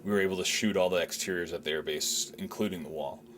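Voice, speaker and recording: Deep-voiced male director, measured. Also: steady tone, background noise. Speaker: film director. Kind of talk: reflective behind-the-scenes commentary.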